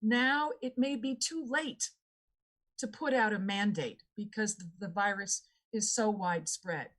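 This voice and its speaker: A woman's voice: medium pitch, unhurried, a bit bright. A woman answering as a candidate in a debate, making earnest, repetitive appeals to voters.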